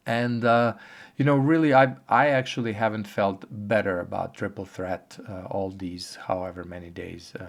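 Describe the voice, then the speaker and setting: A hoarse man giving a casual, rambling video update, with many pauses and fillers. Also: lowish voice, steady tone. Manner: halting, rambling, filler-heavy. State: hoarse from a cold. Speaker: online earnings vlogger. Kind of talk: casual talking-head update.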